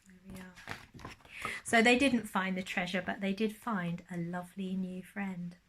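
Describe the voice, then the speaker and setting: A woman narrating a children's storybook like a primary school teacher, expressive and lingering on key words. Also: mid-range voice, measured pace, a little hiss or hum.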